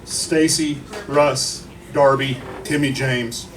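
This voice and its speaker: A man delivering an informal, emotional eulogy to a gathering in halting, broken phrases. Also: medium pitch, fairly even intonation. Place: memorial gathering in a bar. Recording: distant mic, faint venue noise.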